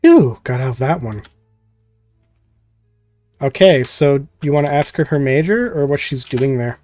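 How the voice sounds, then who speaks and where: A man's voice: mid-range voice, expressive, a little slow, a little mellow, no echo, soft background music. A man giving casual, rambling, jokey gameplay commentary and reading game text aloud as host. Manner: rambling, jokey, skims text with filler words. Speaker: host playing a visual novel.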